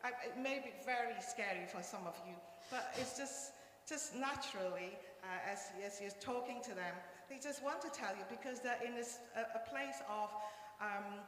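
A woman's voice: a little high, normal speed, fairly bright tone. A woman from Malaysia whose first language is Cantonese shares her story before a congregation, hesitant and earnest, full of little ums. Her voice carries a strong, long echo through the church hall, with faint noise behind it. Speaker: interpreter, church member. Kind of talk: casual testimony on stage.

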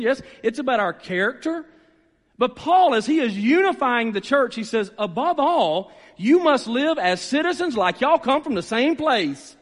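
A man's voice: extremely high pitch, fairly bright tone. A man preaching a sermon as a pastor, conversational yet emphatic, repeating phrases and checking in with his listeners. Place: large room, preaching to a gathered congregation. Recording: reverberant hall sound.

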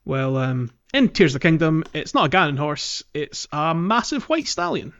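A man's voice: on the high side, sing-song, moderate pace, a bit bright, smooth. Rambling male podcast host, slightly dull audio. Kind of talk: casual game discussion.

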